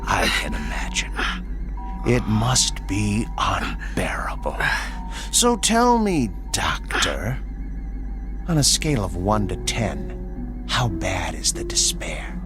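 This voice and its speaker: A man playing a sinister tormentor in a radio drama, menacingly polite, deliberate and taunting. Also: on the low side, wildly swooping pitch, exaggerated voice. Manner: taunting, deliberate, drops into whispers. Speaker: actor. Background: music.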